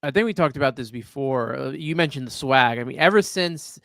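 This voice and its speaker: A man co-hosting a hockey podcast in casual chat, lively and quick to laugh. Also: medium pitch, creaky, no echo, studio quality. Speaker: podcast co-host.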